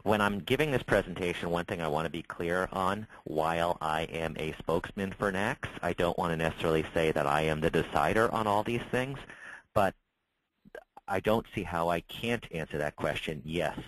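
Middle-aged man, very low voice, dry close sound, conversational, stumbling restarts. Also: moderate pace, a bit bright, no echo, low-quality recording. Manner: conversational, frequent restarts and repeated words. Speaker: trade association spokesman. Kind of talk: webinar Q&A answer.

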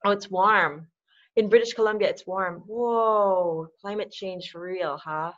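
A woman's voice: medium pitch, a little slow, fairly bright tone. A woman in her forties from the Philippines, hosting a casual online talk and laughing often. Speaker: webinar host. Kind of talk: casual video-call hosting. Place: dim room at home. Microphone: laptop webcam mic. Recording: slightly muffled call audio.

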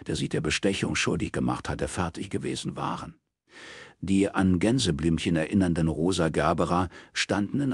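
A man's voice: low voice, slightly rough, no room sound, clean studio sound. A man narrating a crime novel as a scripted audiobook reading.

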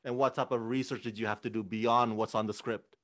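A man's voice: on the low side, fairly even intonation, a little fast, fairly bright tone. A man hosting an interview, asking long, upbeat questions into a studio microphone over a video call. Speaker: interview host. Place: room with green backdrop, indoors. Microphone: studio mic on arm, video call.

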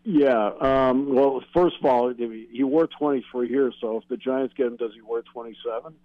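A man's voice: mid-range voice, normal speed, dry recording. American man on a dull call-in line. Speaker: guest sports broadcaster. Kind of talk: sports radio interview, analytical answers. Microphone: remote call-in connection.

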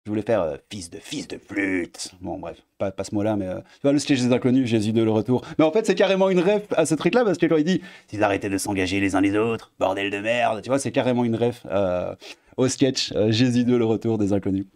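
A man in his thirties with a Belgian French accent, talking in a casual, sarcastic commentary vlog from his home desk and jumping into high mocking tones. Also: a little fast. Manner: sarcastic, animated, swings pitch up for mock emphasis. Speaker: YouTuber commentator.

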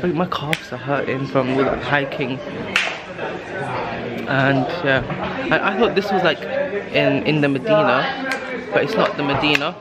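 A man's voice: a little high, lively intonation, a little slow, over a noisy crowd. Tired young man rambling to camera. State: tired with sore throat. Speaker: travel vlogger. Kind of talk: casual solo travel vlog. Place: guesthouse room indoors, later noisy narrow street at night. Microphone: camera held at arm's length. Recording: handheld camera with clear background noise.

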